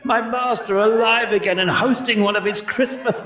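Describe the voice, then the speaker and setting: A man's voice: high voice, fairly even intonation, normal speed, crowd noise, natural voice. A man acting an elderly miser in a radio play, stammering, exclaiming and repeating his words with theatrical feeling. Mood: joyful. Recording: roomy, dull audio with music.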